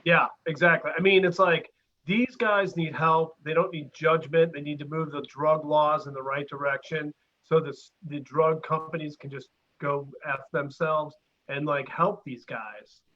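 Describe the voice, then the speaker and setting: A man's voice: fairly high pitch, fairly even intonation, unhurried, bright, forceful tone. A man co-hosting in casual, slangy, blunt conversation, heard over a lo-fi remote connection. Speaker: co-host. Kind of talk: casual chat.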